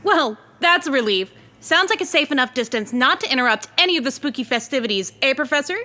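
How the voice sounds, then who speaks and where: A woman plays an inquisitive field reporter in a radio drama. Her high, bright voice is very lively in pitch, with a polished, perky, brisk broadcast delivery. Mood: light, perky. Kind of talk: radio drama newscast acting.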